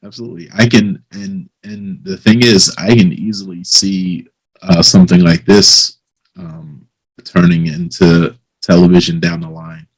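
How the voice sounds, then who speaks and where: A man hosting a casual interview, talking informally in a somewhat halting way. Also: deep voice, breathy edge, low-quality recording. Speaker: interviewer, host.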